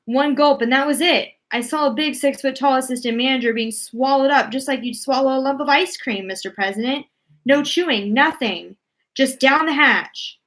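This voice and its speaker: A woman voicing an excitable, folksy male astronaut from a storybook, gushing as he reports. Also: high voice, normal speed, voice pushed up. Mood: alarmed. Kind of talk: storybook read-aloud character voice.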